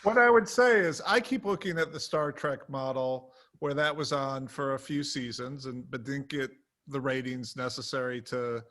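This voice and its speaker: Man, bright voice, mid pitch, earnest, explanatory, casual panel talk. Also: moderate pace, hardly any pauses.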